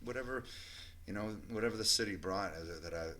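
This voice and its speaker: A man who is a guest musician and producer, talking in halting sentences with fillers and restarts. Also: fairly low pitch, pushed and bright, a little hiss or hum. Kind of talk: casual interview chat.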